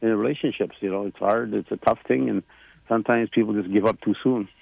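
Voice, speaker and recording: Gravelly-voiced man in his sixties. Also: on the low side, fairly even intonation, fast, dry recording, narrow, tinny audio. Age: 60s. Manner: rambling, warm, many fillers and restarts. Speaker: president of a government, radio guest. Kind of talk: casual radio chat.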